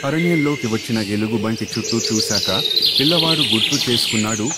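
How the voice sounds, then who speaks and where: A man's voice: fairly low pitch, fairly quick, very noisy. A man reading a scripted story for children in the manner of a storyteller. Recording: loud birdsong and forest ambience behind. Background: chirping birds, outdoor nature sounds.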